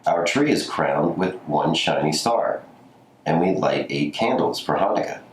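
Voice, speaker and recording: A man with a notably deep voice reads a storybook aloud. The recording is roomy and echoey, with faint noise behind him. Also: expressive, measured pace. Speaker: community story reader. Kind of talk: scripted storybook reading.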